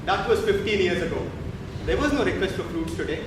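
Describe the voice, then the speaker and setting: Humble Sufi, high, echoing voice. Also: male voice, expressive, a little fast. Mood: respectful. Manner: deferential, earnest. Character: gentle, humble Sufi holy man. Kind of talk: theatrical stage acting. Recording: echoey stage, distant mic, noisy.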